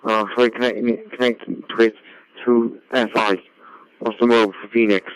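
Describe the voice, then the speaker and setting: Flat-toned, halting man on ham radio. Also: mid-range voice, smooth, no room sound. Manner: halting, repeats words. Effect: digital ham radio transmission. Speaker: amateur radio operator. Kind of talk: casual radio contact chat, technical. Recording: dull, band-limited amateur radio audio.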